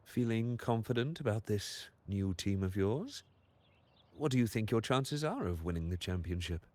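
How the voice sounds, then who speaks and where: An actor voicing a sly, money-loving manager in a video game, with theatrical, mocking formality and a condescending air. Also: male voice, lowish voice, animated, moderate pace, a little mellow, dry recording, natural voice. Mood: mocking, suave.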